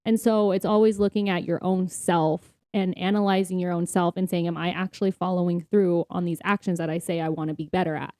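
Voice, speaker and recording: A woman's voice: lowish voice, steady tone, fairly quick, hardly any pauses, mellow, dry recording. A woman co-hosting a podcast, talking casually and earnestly about faith in an informal, explanatory way. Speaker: podcast co-host. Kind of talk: casual faith-focused conversation.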